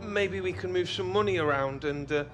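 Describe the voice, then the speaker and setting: A man acts as a young English footballer in a video game, high-voiced and cheeky. He chats casually, a bit hesitant, cocky but good-natured, with prominent music behind him. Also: normal speed, lightly acted. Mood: placating. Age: 20s. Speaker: professional footballer. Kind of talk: video game cutscene acting.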